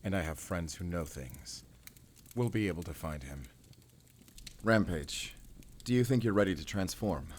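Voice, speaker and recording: A man playing a seasoned, commanding yet tender werewolf hunter in an audio roleplay, measured and resolute. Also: sits low, expressive. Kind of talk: cinematic audio roleplay acting.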